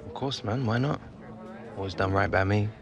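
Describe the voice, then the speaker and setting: A man's voice: lowish voice, normal speed, lo-fi sound, background noise, natural voice. An actor playing a young male footballer in a video game cutscene, laid-back and cheeky. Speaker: main character of a football game story.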